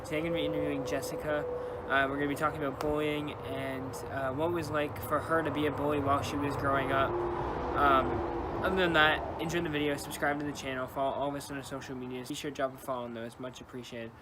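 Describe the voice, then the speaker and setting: A man's voice: fairly high pitch, level tone, quick pace. A young man hosting an interview series, talking in a laid-back, casual way. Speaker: interviewer and channel host. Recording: clear background noise.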